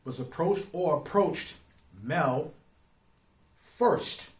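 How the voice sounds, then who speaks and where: A man giving informal opinion commentary in street slang, speaking emphatically and deliberately, often putting rhetorical questions to the listener. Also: a little high, expressive. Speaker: online commentator. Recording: roomy, echoing sound.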